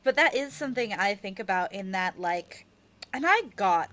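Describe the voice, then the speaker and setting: A woman's voice: mid-range voice, expressive, moderate pace, fairly bright tone, dry recording. A woman being interviewed as an author, chatting casually in an effusive, slangy, joking way. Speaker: interviewed author of an audio drama.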